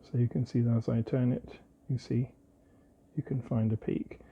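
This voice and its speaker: A man presenting an informal hands-on technical tutorial, explaining methodically in measured phrases with short pauses. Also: medium pitch, level tone, vocal fry, highs cut off, a little hiss or hum.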